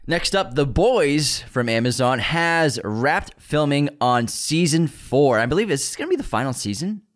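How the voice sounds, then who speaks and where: A man co-hosting a movie news podcast in casual chat, relaxed and quick to laugh. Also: on the high side. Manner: laid-back, laughs often. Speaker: podcast co-host.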